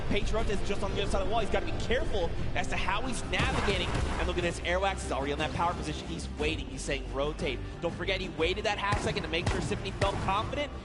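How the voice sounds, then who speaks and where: A man calling a live esports match in a large arena, excitable and shouting at peaks, his voice going hoarse. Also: sits high. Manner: excitable, often shouting over the crowd. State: losing his voice. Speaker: esports caster.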